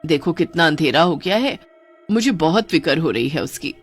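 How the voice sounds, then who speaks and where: A woman's voice: low voice, expressive, normal speed, husky. A woman voicing an anxious middle-aged mother in a cartoon. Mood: worried. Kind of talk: Hindi cartoon voice acting. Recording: music under the voice.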